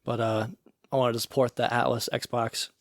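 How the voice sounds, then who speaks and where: A man's voice: mid-range voice, moderate pace, no room sound. Laid-back man rambling on a podcast. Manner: relaxed, rambling, casual swearing. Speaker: podcast co-host. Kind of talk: casual chat.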